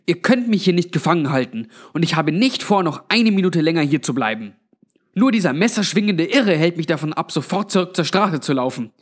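Male narrator as young woman, agitated, defiant, frightened, higher-pitched, story character. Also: animated, brisk, slightly rough. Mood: angry defiance.

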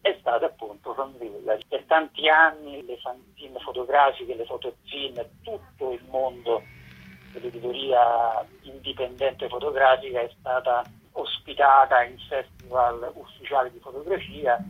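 A narrow, tinny telephone line carries a man's very raspy, mid-pitched voice. He is a festival organiser answering an interviewer, explaining in halting phrases that he builds piece by piece. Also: steady tone. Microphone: phone call.

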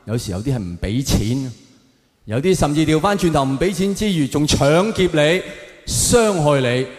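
A man's voice: a little high, a little mellow. Echoey Hong Kong Cantonese male stand-up comedian. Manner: mock-serious opinions with rhetorical questions and direct audience address. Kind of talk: stand-up comedy routine to a live audience. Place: live venue, on stage before an audience. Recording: echoey hall with long reverb.

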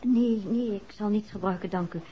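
An actress playing a polite, hurried society lady in a 1960s radio drama. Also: medium pitch, close to an everyday voice. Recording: dull, faintly noisy old radio recording.

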